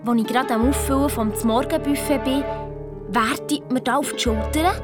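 A woman playing Fabi, an eager, excitable girl detective, telling her adventure with a Swiss German accent in a children's radio play. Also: sits high, normal speed, over music, close to an everyday voice. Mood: excited. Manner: animated storytelling, quoting others in dialogue.

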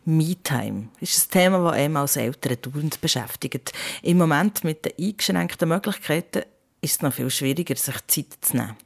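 A woman's voice: sits low, expressive, moderate pace, gravelly. A woman with a Swiss German accent talking candidly and off the cuff, as in a casual personal audio diary. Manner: candid, unscripted, musing. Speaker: podcast host and parent.

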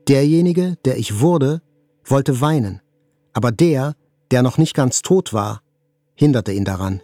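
An actor playing an attack survivor, telling events in a sober, precise, scripted literary narration. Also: male voice, mid-range voice, animated, a little slow, warm tone, dry recording. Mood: sober, detached.

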